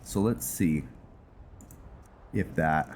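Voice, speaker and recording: A man giving casual gameplay commentary, unhurried and halting as he thinks aloud. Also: extremely low pitch, a little mellow, slight background noise. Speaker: game streamer narrating his roleplay playthrough. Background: game music.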